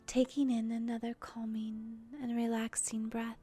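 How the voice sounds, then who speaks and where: A woman leading a guided meditation in a soft, breathy, soothing voice that often trails into a near-whisper, deliberate and gentle with pauses. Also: fairly high pitch, completely flat delivery. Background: soft music.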